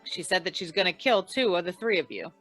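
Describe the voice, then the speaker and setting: A woman playing a deadpan, hard-boiled female investigator in an old-time radio crime noir, with dry, world-weary delivery. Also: fairly low pitch, brisk, soft background music, poor audio. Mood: cool, matter-of-fact. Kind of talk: 1940s radio noir narration and dialogue.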